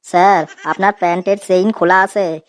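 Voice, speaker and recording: A woman's voice: lowish voice, steady tone, moderate pace, lightly acted. A woman voicing a cheeky, dim schoolboy in a comedy cartoon, talking in a naive, wheedling, colloquial way. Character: cheeky dim schoolboy, a self-styled poet.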